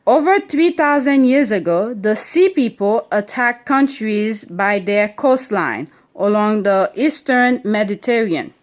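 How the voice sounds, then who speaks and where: Slow, patient accented woman teaching at home. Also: mid-range voice, expressive. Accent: non-standard English accent. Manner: checks understanding with frequent "okay?" and questions; deliberate, stretches key words while reading. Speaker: parent teaching her children at home. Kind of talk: reading a history book aloud and explaining it.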